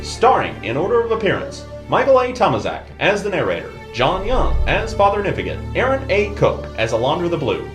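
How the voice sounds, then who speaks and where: A man's voice: sits high, wildly swooping pitch, brisk, few pauses. A man reading scripted cast credits as an announcer for an audio drama. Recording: echoey voice over music.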